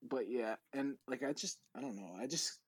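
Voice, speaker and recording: A man's voice: medium pitch, normal speed, husky. A young man with a lisp, rambling and swearing in a casual podcast chat with a friend. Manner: rambling and profane, full of "like". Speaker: podcast co-host.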